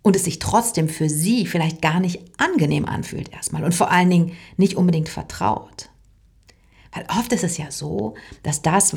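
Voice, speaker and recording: A middle-aged woman voice teacher explaining her work in a calm, thoughtful way, sometimes dropping into a soft whisper. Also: on the low side, vocal fry, husky. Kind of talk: reflective explanatory monologue.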